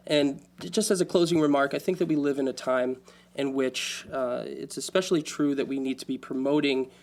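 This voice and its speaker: A man's voice: mid-range voice, steady tone, fairly quick, a little mellow. A man who sits on a public access station's board, speaking earnestly at a community forum and often restarting his sentences. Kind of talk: panel discussion.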